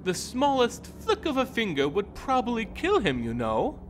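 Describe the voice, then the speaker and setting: A man's voice: extremely high pitch, wildly swooping pitch, normal speed, slight background noise, voice pushed up, full character voice. A man voicing a haughty female vampire lord in a fantasy story, coy, mocking and condescending. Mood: cool, taunting.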